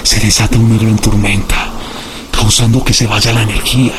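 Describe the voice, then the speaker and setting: An actor as the grave, ominous narrator of a horror radio drama, measured. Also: male voice, on the low side, level tone, close to an everyday voice. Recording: clear background noise and ambience.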